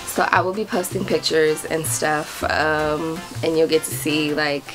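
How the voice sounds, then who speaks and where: Brief: young American woman vlogger, lower voice, bubbly chatter, faint music bed. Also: steady tone, measured pace, poor audio. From United States. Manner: bubbly, giggly, chatty with many fillers. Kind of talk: casual vlog chat to camera.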